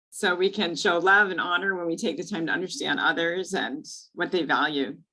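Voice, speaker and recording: A woman's voice: on the low side, pushed and bright, rough recording. A woman moderating an online panel over a video call, chatty and friendly with frequent fillers.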